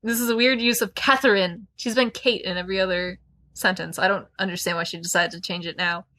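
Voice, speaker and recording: A woman's voice: medium pitch, steady tone, a little fast, sharp tone. A woman hosting a podcast, discussing a book casually and reading out quotes with an opinionated, analytical manner. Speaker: podcast host.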